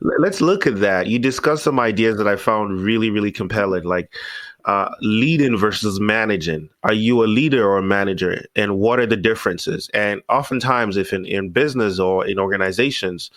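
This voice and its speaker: Eager low-voiced interviewer, dry sound. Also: male voice, normal speed, fairly bright tone, no room sound. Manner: enthusiastic, eager questioning. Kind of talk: conversational interview.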